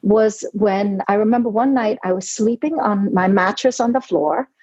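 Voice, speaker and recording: A woman's voice: mid-range voice, normal speed, a little mellow, husky, dry recording. A woman in her forties, born in Hong Kong and raised in New York City, a novelist telling her story in an earnest, reflective podcast interview, often saying "you know". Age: 40s. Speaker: novelist guest. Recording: dry, dull lo-fi audio.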